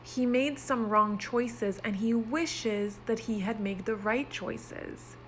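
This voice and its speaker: A woman's voice: on the high side, slow, fairly bright tone, a little hiss or hum, poor audio. A woman teaching pre-kindergarten children, reading a story aloud expressively and explaining it in simple words.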